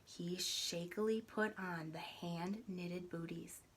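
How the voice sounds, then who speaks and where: A woman reading a children's story aloud in an expressive, deliberate, dramatic way. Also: fairly low pitch, slight background noise. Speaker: storybook reader.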